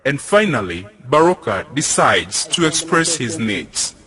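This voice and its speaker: Young guy narrating a play, takes it slow, voice goes up n down, music playing. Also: medium pitch, big pitch swings, sharp tone. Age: young adult.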